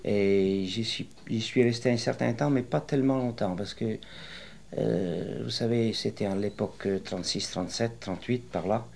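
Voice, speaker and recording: An older man in his late sixties with a very deep, low voice recounts his working life for an interviewer. He wanders through his memories slowly and hesitantly, filling gaps with pauses and restarts. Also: gravelly, darkish tone, a little hiss or hum. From western France. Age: 60s. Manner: rambling, hesitant storytelling with many pauses. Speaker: retired interviewee. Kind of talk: oral history interview.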